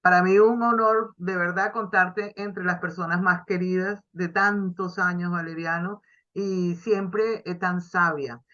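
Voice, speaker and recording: A woman hosts a radio interview with a bright, forceful voice, effusive and friendly, often stumbling over and restarting words. The sound is dull and lo-fi. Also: fairly low pitch, steady tone, measured pace. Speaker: radio host. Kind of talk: interview hosting.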